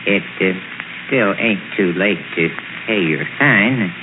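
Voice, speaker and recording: A man's voice: low voice, slow. A man playing a proud, folksy small-town constable with a rustic drawl in a 1940s radio drama. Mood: folksy, matter-of-fact. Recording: noisy, tinny 1940s radio recording.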